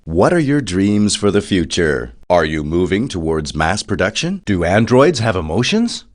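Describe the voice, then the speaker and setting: A man playing an excitable TV reporter in a video game, putting interview questions to race winners in a showy announcer manner. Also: low-pitched, moderate pace. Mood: smooth, curious.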